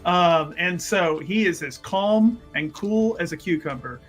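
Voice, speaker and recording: Male tabletop player, higher voice, improvised repetitive narration over faint music. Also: a little slow, a bit bright, breathy edge. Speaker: player in a tabletop roleplaying game. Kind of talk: animated improvised narration of his character.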